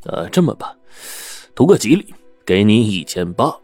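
A man voicing a sly, smooth-talking shopkeeper who speaks softly and ingratiatingly. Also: lowish voice, wildly swooping pitch, moderate pace, dry recording, soft background music, full character voice. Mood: sly coaxing.

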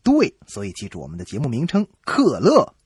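A man's voice: medium pitch, huge pitch swings, brisk, a little mellow, slightly rough, no echo, studio quality. A man co-hosting a radio comedy show, trading scripted banter in a playful, emphatic way.

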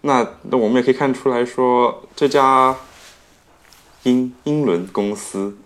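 A man's voice: mid-range voice, a little slow, fairly bright tone. A man giving his views as a co-host in a casual motorsport podcast discussion.

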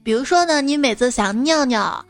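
A woman's voice: high voice, expressive, moderate pace, no room sound. A woman speaking as a mother and comic storyteller, in playful, coaxing scripted chat addressed to a child. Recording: background music under the voice.

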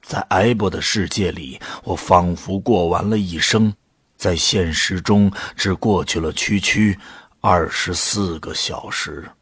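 A man playing a brooding volunteer who narrates his own story in a measured, solemn audio drama style. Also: deep voice, a bit bright, husky, no echo, studio quality, full character voice. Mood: resolute. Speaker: narrator and lead actor. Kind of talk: scripted first-person drama narration. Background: music.

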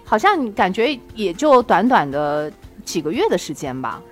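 A woman's voice: mid-range voice, big pitch swings, normal speed, faint music behind. A woman hosting a casual chat, putting questions and teasing remarks to her guest in an informal way. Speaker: podcast host.

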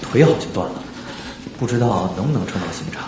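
An actor playing an uneasy, soft-hearted junior guard in a radio drama. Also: male voice, lowish voice, lively intonation, fast talker, natural voice. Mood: worried. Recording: echoey, noisy ambience.

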